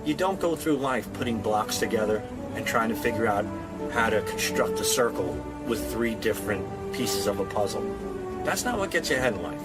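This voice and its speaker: A man's voice: lowish voice, lively intonation, normal speed, loud music behind the voice. A man giving casual interview answers in a joking, self-assured manner. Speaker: radio show staff member.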